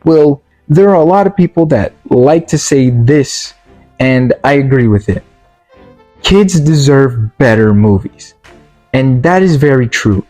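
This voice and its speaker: A man's voice: medium pitch, expressive, a little slow, warm tone, soft background music, rough recording. A man giving a casual spoken movie review, rambling with many fillers and restarts, his voice worn out and tired. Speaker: video reviewer.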